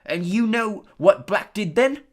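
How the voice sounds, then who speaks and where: A reader voicing Stan, a chatty young male bus conductor who gossips loudly in working-class London speech. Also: mid-range voice, lively intonation, unhurried, voice pushed up. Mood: excited gossip.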